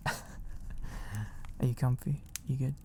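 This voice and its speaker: A man reading a storybook aloud in a gentle, cosy, unhurried ASMR style. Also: medium pitch, fairly even intonation, slight background noise. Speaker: ASMR narrator.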